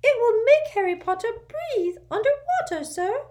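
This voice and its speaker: A woman voicing an eager, servile male house-elf with a squeaky voice and broken grammar, in a storybook reading. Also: expressive, measured pace, darkish tone. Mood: urgent, squeaky. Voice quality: squeaky, broken grammar, says sir constantly.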